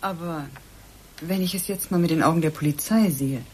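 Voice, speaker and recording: An actress playing a sceptical, controlled friend in a radio play, asking pointed questions. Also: female voice, low voice, normal speed, slight background noise, lightly acted. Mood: sceptical, probing. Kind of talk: German radio play acting.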